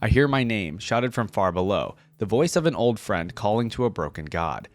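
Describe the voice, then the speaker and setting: A man's voice: fairly low pitch, big pitch swings, moderate pace, soft background music. A man hosting a gaming reaction channel, commenting and reading aloud in a close, dry recording. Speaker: YouTube channel host reacting to game lore.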